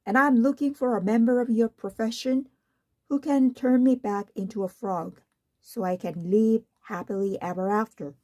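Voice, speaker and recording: A woman's voice: a little high, steady tone, normal speed, a little mellow, lightly acted. A woman voicing a polite, earnest young storybook prince with a slightly flustered manner. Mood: pleading, flustered.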